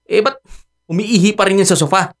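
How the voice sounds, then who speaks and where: A man's voice: high-pitched, fairly even intonation, brisk. A man acting in a radio drama as an irritable boyfriend, grumbling in exasperation. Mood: grumbling, exasperated. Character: irritable live-in boyfriend who resents the dog.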